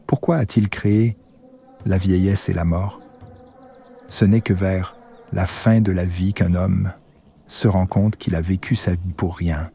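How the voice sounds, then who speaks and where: Man acting a slow, low-voiced, sorrowful old man. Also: fairly even intonation, creaky, mellow, slightly rough, full character voice. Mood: sorrowful, bitter. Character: weak, sorrowful old man lamenting a wasted life. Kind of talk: animated film dialogue.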